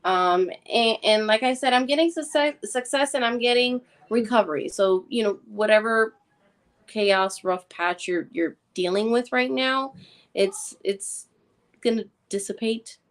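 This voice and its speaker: A woman, a guest psychic on a live stream, giving reassuring readings with many pauses and ums. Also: on the high side.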